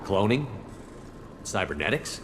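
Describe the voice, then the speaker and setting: An actor playing a composed, decisive military commander in a video game, terse and controlled. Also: male voice, low voice, big pitch swings, a little slow, slight background noise.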